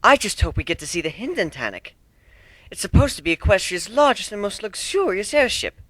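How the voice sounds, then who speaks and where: A man's voice: high voice, very animated, fairly quick, bright, forceful tone, no echo, pitched above the performer's own voice, full character voice. A genteel lady pony, acted by a man, dainty and fussy. The voice is raised and lilting, suited to a cartoon tale. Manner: dainty, cooing. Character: refined, genteel, fussy young female pony.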